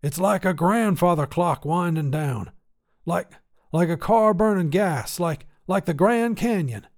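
A man's voice: high voice, a little slow, voice pushed up. A man voicing a cantankerous old grandfather, a folksy tinkerer who grumbles emphatically in a story reading. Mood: earnest preaching. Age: older. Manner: folksy, grumbling, emphatic, rural turns of phrase. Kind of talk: acted character dialogue in short fiction reading.

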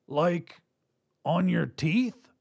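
A man performs an agitated, unsure male bank robber for short audio fiction. He pitches his voice up and swings it around, blurting slangy, hesitant phrases. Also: lively intonation, drawn-out words, gravelly, a little mellow, slightly rough, exaggerated voice. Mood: confused.

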